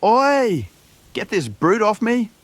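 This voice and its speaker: A man voicing a gruff, hot-headed dwarf fighter in a fantasy story, blustery and quick to curse. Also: sits high, big pitch swings, slight background noise, voice pushed up, exaggerated voice. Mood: indignant. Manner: blustery, roaring, old-fashioned British slang.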